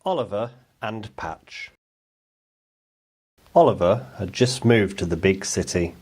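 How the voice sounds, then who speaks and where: A man narrates a children's picture book for bedtime. His voice sits a little low, in a storytelling delivery made for young listeners. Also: takes it slowly, a little mellow, breathy edge.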